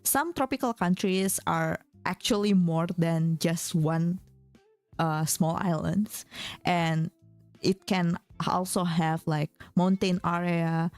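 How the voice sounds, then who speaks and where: A young woman streamer chatting casually with her viewers, often restarting her sentences and filling pauses with "like". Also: fairly low pitch, steady tone, a little mellow, no room sound. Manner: chatty, many fillers and restarts, reads chat aloud. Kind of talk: casual chat with chat readers. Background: faint background music.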